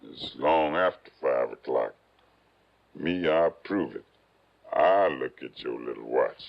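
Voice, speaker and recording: An actor playing a menacing, simple swamp gunman in an old radio drama, talking in a slow, halting, folksy way. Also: very deep voice, expressive, creaky, exaggerated voice. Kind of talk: 1950s radio drama acting. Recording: dull vintage radio recording.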